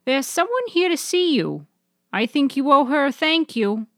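Woman putting on an insistent, high-pitched grandmother. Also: sing-song, moderate pace, no echo, clean studio sound. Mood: calling out. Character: prompting, folksy grandmother in a story.

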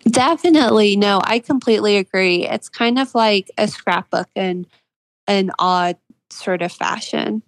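A woman of about twenty speaks as an interview guest, reflective and earnest. Her voice sits at a middling pitch for a woman. The sound is very dry, with no room around it. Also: measured pace, no echo. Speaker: interview guest, fiction author. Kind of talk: interview answers, reflective conversation.

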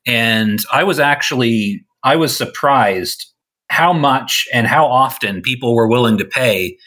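A man talks through his ideas at length in a relaxed podcast chat. His voice is bright and forward, and it is a little worn from losing it. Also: mid-range voice, a little slow, low-quality recording. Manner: thoughtful, explains at length with restarts. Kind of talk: casual discussion.

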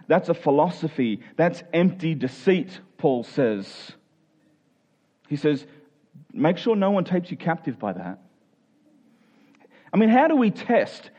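A man's voice: fairly high pitch, darkish tone. A man preaching a sermon in plain conversational words, earnest, posing rhetorical questions and restating phrases for emphasis.

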